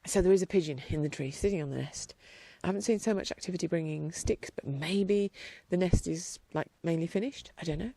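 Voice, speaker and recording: A rambling, chatty woman talking outdoors in her garden, her voice carrying a gravelly fry. She sounds a little blocked up in the nose and drifts into quieter stretches as she wanders through her thoughts. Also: on the low side, fairly quick, hardly any pauses, dry recording. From England. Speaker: podcast host. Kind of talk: casual chat.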